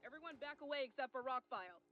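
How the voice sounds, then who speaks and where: A woman's voice: sits high, expressive, quick pace, lo-fi sound. A woman voicing a suspicious, defiant outcast leader in a video game, commanding and combative.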